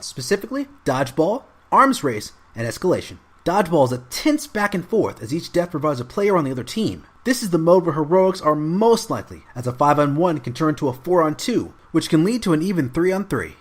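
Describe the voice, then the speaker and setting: A man's voice: a little high, husky. A man narrating a scripted video game review as a voice-over.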